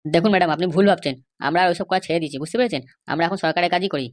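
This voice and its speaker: Woman as crooked cartoon police officer, lowish pitch, extremely fast talker, insistent and dismissive. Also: lightly acted. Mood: firm. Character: stubborn, bribe-seeking police officer guarding a prisoner. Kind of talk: Bengali comedy cartoon dialogue.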